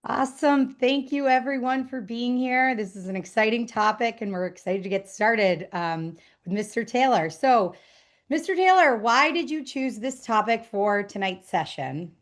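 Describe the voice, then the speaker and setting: Female webinar moderator, voice on the high side, delivering semi-scripted introductions. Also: animated, normal speed.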